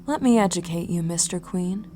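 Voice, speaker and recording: A woman's voice: lowish voice, music in the background, lightly acted. A woman playing a cold, menacing mercenary boss in an audio drama, condescending and mockingly polite. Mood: cold menace. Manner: deliberate, condescending, breathy at times.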